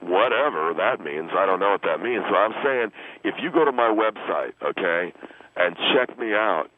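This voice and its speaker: A man's voice: sits low, expressive, moderate pace. A man voicing a vain, pompous younger American radio guest who pushes his slangy opinions on a comedy show. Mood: insistent, smug. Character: vain, smug younger man, self-styled relationship expert guest. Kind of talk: comic radio interview character. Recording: muffled phone line with loud noise.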